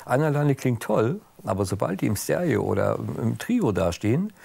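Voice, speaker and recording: A man's voice: mid-range voice, very animated, moderate pace, gravelly, darkish tone, slightly rough, dry recording. A man appearing as a guest on a studio talk show, chatting about building wind harps with enthusiasm. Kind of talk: casual studio chat.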